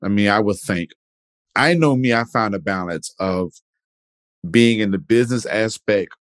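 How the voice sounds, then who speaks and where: A young man, hosting a podcast interview in a relaxed, chatty way with lots of fillers. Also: on the low side, normal speed, no room sound. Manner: laid-back, filler-heavy, repeats "definitely" and "man". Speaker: podcast host. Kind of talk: casual interview chat.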